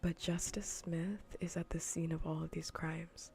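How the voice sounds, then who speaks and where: A woman livestream host chatting casually in a soft, breathy, mostly whispered ASMR voice, rambling informally and repeating phrases for emphasis. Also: on the low side, flat delivery, unhurried.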